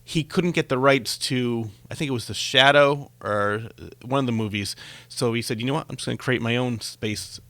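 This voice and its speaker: A man's voice: medium pitch, moderate pace, a bit bright, dry recording. A man hosting a conversational interview, chatty and given to telling anecdotes. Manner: chatty, tells anecdotes with acted-out quotes. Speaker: talk show host and interviewer.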